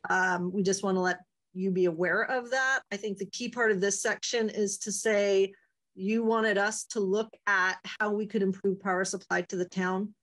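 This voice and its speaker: A woman presenting a committee update to a town board over a video call, speaking in a clear, explanatory and upbeat way. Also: medium pitch, fairly even intonation, moderate pace, fairly bright tone.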